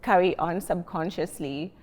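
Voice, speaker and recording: A woman's voice: lowish voice, a little slow, darkish tone. A woman from Kenya with a Kenyan accent, thoughtfully sharing her experiences as a panelist in a discussion. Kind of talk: reflective discussion. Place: large library reading room. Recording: long reverb of a large hall.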